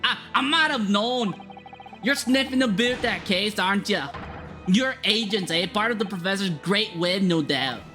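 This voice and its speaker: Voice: medium pitch, lively intonation, unhurried, sharp tone, soft background music. A streamer voicing a hostile male prison governor in a put-on Scottish accent, blunt and threatening. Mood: gruff.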